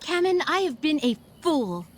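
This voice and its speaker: Earnest high-voiced young elf woman. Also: very animated, moderate pace. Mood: remorseful, resolved. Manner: formal, earnest phrasing. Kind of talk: fantasy video game acting.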